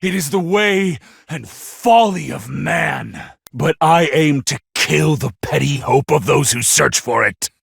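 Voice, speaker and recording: A deranged anime game villain with a god complex, sneering with open contempt. His voice is high for a man and rough with gravel, swinging wildly between menace and screams. He draws his words out theatrically. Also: lively intonation, slow, fairly bright tone, no room sound, studio quality, heavily acted. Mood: cold condescension.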